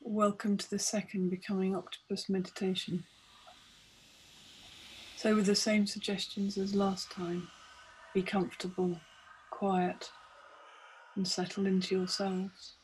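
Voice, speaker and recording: Even-toned woman leading a guided meditation. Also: medium pitch, slight background noise, low-quality recording. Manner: measured, short deliberate phrases with pauses. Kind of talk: scripted guided meditation narration.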